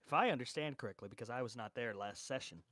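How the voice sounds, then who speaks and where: A man's voice: mid-range voice, quick pace, a bit bright. A man talking casually as a player in an online tabletop game. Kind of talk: casual chat.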